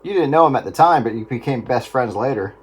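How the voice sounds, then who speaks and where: A man's voice: mid-range voice, animated, fast talker. American man on very noisy two-way radio. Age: middle-aged. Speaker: amateur radio operator in a round-table chat. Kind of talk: casual chat. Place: on-air radio contact.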